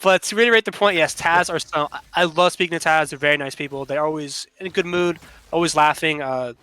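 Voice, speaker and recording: A man's voice: fairly high pitch, fast talker, sharp tone, smooth, no room sound. A man giving casual live analysis and banter as a commentator on a video game match.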